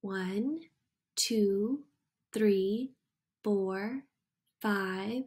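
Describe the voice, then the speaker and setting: A woman reading a picture book aloud to young children in an expressive, deliberate storytelling manner. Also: medium pitch. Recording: music behind the voice. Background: music.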